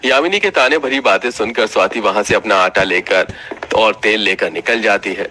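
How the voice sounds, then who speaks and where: A man's voice: fairly low pitch, moderate pace, sharp tone. A man narrating a scripted children's story as the storyteller.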